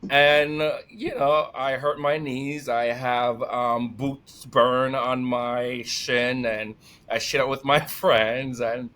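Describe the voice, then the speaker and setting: A man with a Jamaican accent, a guest telling lively stories in conversation and laughing often. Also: mid-range voice, takes it slowly. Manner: energetic, animated, laughs often. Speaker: podcast guest. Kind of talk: storytelling conversation.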